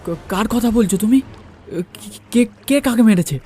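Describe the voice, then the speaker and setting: A man's voice: sits high, a little slow, warm tone, soft background music. A man narrating a first-person horror story and acting out its dialogue.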